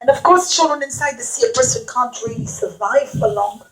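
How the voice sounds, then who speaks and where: High-pitched woman telling children a story. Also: fairly quick, faint music behind, poor audio. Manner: expressive, simple teacherly phrasing with repetition and questions to children. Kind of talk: animated Bible storytelling to young children with a puppet show.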